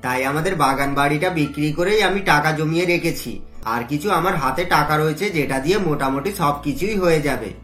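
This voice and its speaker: Worried middle-class father: fatherly, lowish, echoing, music underneath. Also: fairly quick, a bit bright. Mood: reassuring. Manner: earnest, fatherly. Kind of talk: cartoon voice acting. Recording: roomy echo, music behind.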